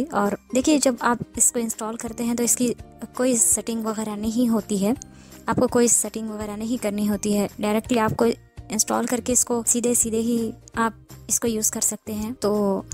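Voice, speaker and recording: A woman's voice: on the high side, darkish tone. A woman presenting an informal step-by-step app tutorial, explaining and repeating her instructions as she goes. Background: music.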